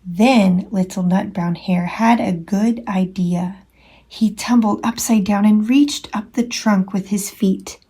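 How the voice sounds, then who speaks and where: Female storytime teacher reading to young children: expressive, slow-paced, lightly breathy and husky. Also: medium pitch. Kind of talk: children's storybook read-aloud.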